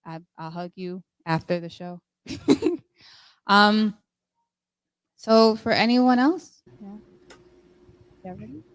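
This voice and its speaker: Slow, chatty woman host. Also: medium pitch, lively intonation, poor audio. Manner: warm, chatty, with fillers. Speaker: host of the discussion. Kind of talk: casual chat.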